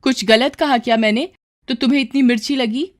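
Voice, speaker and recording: A woman's voice: high-pitched, fairly even intonation, brisk, pitched above the performer's own voice, close to an everyday voice. A woman voicing a long-suffering yet outspoken bangle-selling mother in a cartoon story, plaintive and emotional. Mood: defiant.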